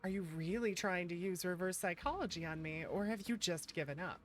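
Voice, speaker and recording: A woman's voice: on the low side, fairly quick. A woman streamer giving casual live game commentary, playful and rambling as she thinks aloud.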